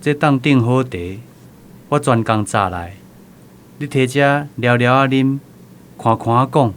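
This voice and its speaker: A man's voice: medium pitch, unhurried, a little hiss or hum, close to an everyday voice. A man playing an attentive grown son talking with his old mother, with a Taiwanese accent, in a radio drama. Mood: attentive, curious.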